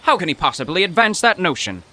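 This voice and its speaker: An actor playing an anxious, poetic professor in a 1930s radio adventure, with a fretful, literary and theatrical manner. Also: male voice, high voice, very animated, fast, sharp tone, full character voice. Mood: baffled. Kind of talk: 1930s radio drama acting. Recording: echoey, slight background noise.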